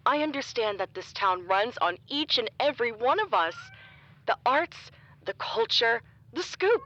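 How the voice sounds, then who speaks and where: An actress playing a gushing, coy, falsely modest small-town mayor in a 1980s radio interview within an audio comedy. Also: female voice, a little high, sing-song, measured pace, sharp tone, highs cut off, heavily acted. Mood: folksy speechifying. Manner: gushing, coy politician delivery.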